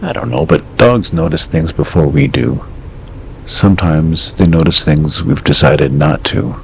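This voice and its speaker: Casting: male actor as deep gravelly late-night radio host, calm, probing, low faint hiss behind. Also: unhurried, heavily acted. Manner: calm, probing short questions.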